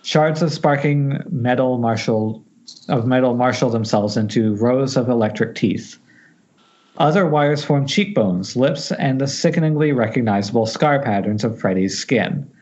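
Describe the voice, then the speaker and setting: A man reads stage directions from a horror script in a medium-pitched voice. He stumbles over phrases and corrects himself as he narrates. The sound is slightly muffled, as from an internet call. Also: a little slow, darkish tone. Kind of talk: reading a horror screenplay aloud at amateur table read.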